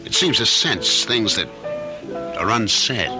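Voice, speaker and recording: A man's voice: fairly low pitch, very animated, takes it slowly, music in the background. An actor playing a resentful, insecure middle-aged man in an old-time radio drama. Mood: nostalgic, confiding.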